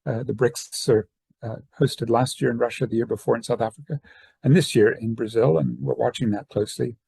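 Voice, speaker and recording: A man's voice: mid-range voice, fairly even intonation, a little fast, creaky, warm tone, slightly rough, dry recording. An older man from Northern Ireland, raised in the southern United States, giving a conference talk over a video call in discursive, hesitant phrasing. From born in Northern Ireland, raised in the southern United States. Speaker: academic and activist giving a talk. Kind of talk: semi-formal conference presentation.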